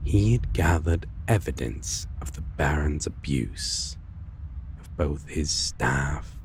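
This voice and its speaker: A man playing a brooding, world-weary vampire lover in a dark fantasy audio roleplay, speaking intimately in halting, old-fashioned phrases with a low, breathy, gravelly voice. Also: expressive, a little hiss or hum, exaggerated voice. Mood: measured, recounting.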